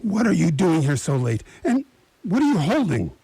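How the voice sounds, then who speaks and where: Man acting a husky, cocky French cat burglar. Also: a little high, huge pitch swings, moderate pace, dry recording, full character voice. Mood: startled. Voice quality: husky, gravelly, breathy when hushed. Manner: muttering to himself, boastful, slipping into French. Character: cocky, jittery French male cat burglar psyching himself up. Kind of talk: radio theatre monologue.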